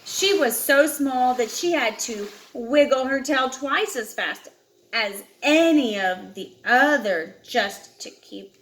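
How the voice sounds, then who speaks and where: A woman's voice: high voice, lively intonation, takes it slowly, a bit bright, echoey room, rough recording. A woman reading a picture book aloud expressively, as a storyteller to a child, with dramatic emphasis on key words.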